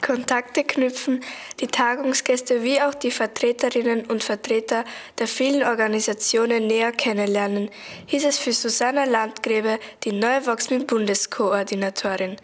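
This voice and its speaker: A woman's voice: on the high side, steady tone, normal speed. A teenage girl narrating a school radio report from a script. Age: teens. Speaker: student reporter.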